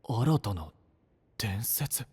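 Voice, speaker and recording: A man's voice: fairly low pitch, expressive, drawn-out words, a little mellow, husky, no room sound, exaggerated voice. A male voice actor playing a proud, brooding young apprentice knight, terse and guarded, in an anime-style voice drama. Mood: wary.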